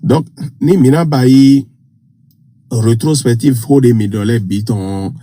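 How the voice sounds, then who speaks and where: A man phoning in to a live show, hoarse from a cold, speaking as a Goun speaker, rambling and halting with many fillers. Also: mid-range voice, dark tone, dry recording. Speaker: caller.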